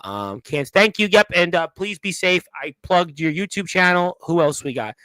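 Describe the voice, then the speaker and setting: A man with a bright, forceful voice set high in pitch hosts a wrestling radio show. The sound is dry and close. He talks casually, reading viewer comments aloud and reacting to them as they come in. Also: animated, normal speed, dry recording, poor audio.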